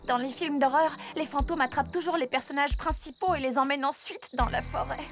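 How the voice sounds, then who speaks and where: A woman's voice: high voice, a little fast, music in the background. A woman playing a timid, easily frightened young girl student in a cartoon, nervous and dramatic. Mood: anxious. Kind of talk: dubbed cartoon acting.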